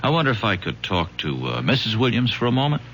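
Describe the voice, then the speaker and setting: An actor playing a wily, pushy police detective in old-time radio drama, halting and falsely genial in his questioning. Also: fairly low pitch, very animated, a bit bright. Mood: falsely apologetic. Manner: halting, feigned-casual questioning full of uhs. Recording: noisy, humming old radio recording.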